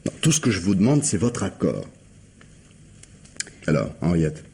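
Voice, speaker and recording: An actor playing a scheming, persuasive husband in a French radio drama, with an accent from France and an insistent, coaxing way of reasoning. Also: male voice, low voice, wildly swooping pitch, normal speed, vocal fry, a little mellow, husky, exaggerated voice. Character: scheming, persuasive husband desperate to save his home.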